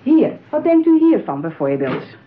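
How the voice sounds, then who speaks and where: An actress playing a smooth, patronising saleswoman in a radio play, with polished, persuasive patter. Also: sits high, big pitch swings, a little slow, noisy background. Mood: reassuring, persuasive. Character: smooth, patronising, eager saleswoman at a matchmaking agency. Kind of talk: radio drama acting. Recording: tinny, narrow-band old radio play.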